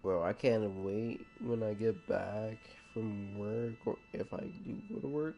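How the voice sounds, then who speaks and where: A man's voice: on the low side, measured pace, gravelly, dark tone, muffled recording. A man chatting casually and jokingly in slang as he streams a video game. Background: game music.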